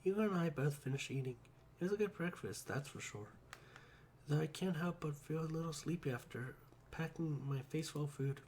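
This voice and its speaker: A man's voice: fairly high pitch, fairly quick, darkish tone, a little hiss or hum. A man reading a visual novel aloud in a playful way, dropping joking asides between lines.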